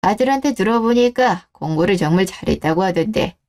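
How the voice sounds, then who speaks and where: A middle-aged mother-in-law acted by a woman speaks in a mellow, soft-edged voice. She is formally polite yet condescending, probing in the measured way of a scripted radio story. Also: mid-range voice, lively intonation, close to an everyday voice. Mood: politely condescending.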